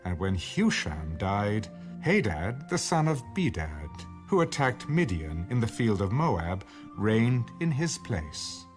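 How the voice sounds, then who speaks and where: Guy reading the bible like an audiobook, really slow, lots of rise and fall in his voice, solemn. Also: on the low side, very animated, faint music behind. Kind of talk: scripted scripture reading.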